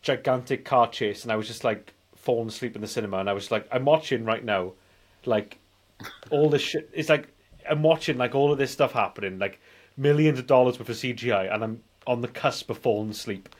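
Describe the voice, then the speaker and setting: A man's voice: medium pitch, brisk. A man in his forties with a mid-range voice chats casually as a film podcast host. He rambles through his thoughts, circling back and repeating phrases as he goes. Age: 40s. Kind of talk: casual chat.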